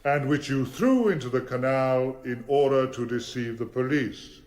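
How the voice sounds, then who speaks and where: A formidable middle-aged barrister of the radio stage, played by a male actor. His voice sits at a medium pitch. He speaks deliberately and shapes his phrases with an advocate's rhetoric. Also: a little mellow, close to an everyday voice. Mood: probing, insistent. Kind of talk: radio courtroom drama acting.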